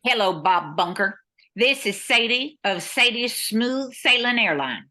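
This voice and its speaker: A woman's voice: on the high side, lively intonation, a little slow, sharp tone. A woman playing a capable but worried airline owner and pilot, reading earnestly in a radio play. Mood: businesslike. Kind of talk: old-time radio play acting.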